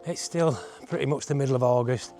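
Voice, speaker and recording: A man with a soft, mellow voice at an average pitch talks casually as he walks a trail outdoors. He is chatty and wryly understated, sounding exerted from climbing, with music playing behind him. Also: a little fast. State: exerted from an uphill hike. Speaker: travel vlogger and host. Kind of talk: casual walk-and-talk hiking commentary. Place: outdoors on a forest hiking trail.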